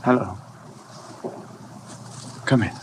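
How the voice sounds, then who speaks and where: An actor playing a tormented, fatalistic husband in a 1950s radio drama, speaking in a grave, weary, measured way. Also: male voice. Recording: noisy old 1950 radio broadcast.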